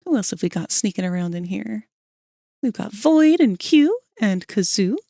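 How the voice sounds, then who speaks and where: Woman streaming from home, bit scratchy today, chatty and friendly, dry close sound, middle pitch. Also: expressive, moderate pace, warm tone, no echo. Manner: chatty, greets viewers, laughs and clears throat often. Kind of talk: casual stream chat. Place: cold room at home.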